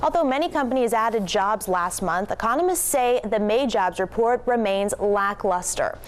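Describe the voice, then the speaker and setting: A woman's voice: mid-range voice, lively intonation, moderate pace. A woman anchoring a television newscast, reading scripted stories in a presenter's delivery. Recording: clearly noisy TV news aircheck.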